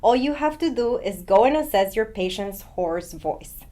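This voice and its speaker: A woman's voice: a little high, animated, moderate pace, warm tone. A woman doctor presenting a short scripted vocabulary segment in a friendly, instructive way.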